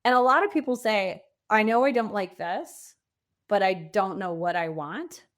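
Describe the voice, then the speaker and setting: A woman's voice: medium pitch, normal speed. A middle-aged woman answering as a podcast guest in a conversational, emphatic way, using vivid examples to make her points. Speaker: podcast guest, pivot coach.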